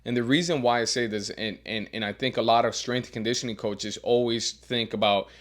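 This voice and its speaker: A man who coaches strength and teaches as a professor, giving earnest career advice with a deeper voice and frequent repeated words as he restarts. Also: fairly even intonation, fairly quick, fairly bright tone. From Miami. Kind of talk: advice monologue.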